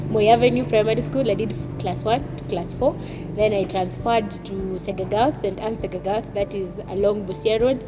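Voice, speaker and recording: A woman's voice: mid-range voice, muffled recording. Chatty young Kenyan woman telling her story. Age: 20s. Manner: chatty, laughs at herself, drops in Swahili asides. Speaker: guest. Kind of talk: personal life story.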